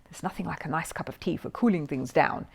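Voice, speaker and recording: A woman's voice: sits low, brisk, creaky, breathy edge, full character voice. A woman voicing a cheerful, chatty male television repairman in a children's story, with informal workman's talk.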